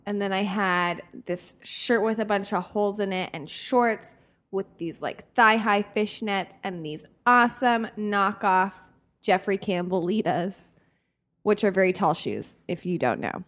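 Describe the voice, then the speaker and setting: A young woman with a medium-pitched voice chats as a podcast co-host. She tells slangy, self-deprecating anecdotes about herself. She acts out what people said as she goes. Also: unhurried, fairly bright tone. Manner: slangy, self-deprecating storytelling with acted-out quotes. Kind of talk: casual chat, telling personal anecdotes.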